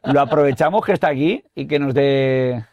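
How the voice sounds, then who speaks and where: Gravelly male podcast host, informal and quick, dry close-miked sound. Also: mid-range voice, expressive, dry recording, highs cut off. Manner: informal, quick questions, trailing off mid-sentence. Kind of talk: casual interview questions.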